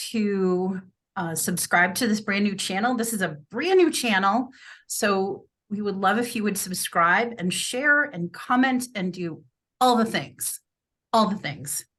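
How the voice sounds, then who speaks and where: Slow-talking middle-aged woman real estate agent. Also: medium pitch, fairly bright tone. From US Midwest. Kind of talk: casual podcast introduction.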